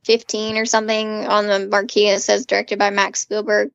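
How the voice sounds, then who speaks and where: A woman's voice: mid-range voice, completely flat delivery, fairly quick, gravelly, no echo, muffled recording. A woman co-hosting a podcast, recapping casually as an enthusiastic fan with rambling asides. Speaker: podcast co-host. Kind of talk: casual chatty episode recap.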